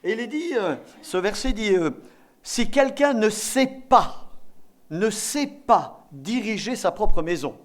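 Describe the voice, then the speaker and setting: A man's voice: sits high, lively intonation, slow pace. A man from eastern France preaching a sermon as a visiting pastor, exhorting and repeating key phrases for emphasis.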